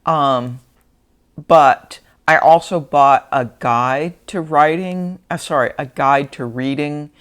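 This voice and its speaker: Gravelly teacher-turned-book vlogger, slow and musing, unscripted home talk. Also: mid-range voice, a bit bright. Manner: thoughtful, rambling with restarts and hesitations. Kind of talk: unscripted casual vlog talk. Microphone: wearing a mic.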